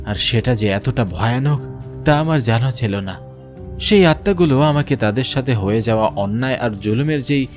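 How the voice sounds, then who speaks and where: A man narrating a scripted first-person horror story in a grave, dramatic way. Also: mid-range voice.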